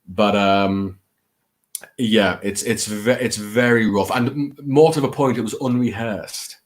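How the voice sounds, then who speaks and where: A man with a fairly low voice and a Scouse accent chats casually to his viewers. He rambles and hesitates, putting himself down and adding the odd theatrical flourish. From England. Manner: hesitant, halting, self-deprecating, with theatrical flourishes. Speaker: host of a late-night livestream.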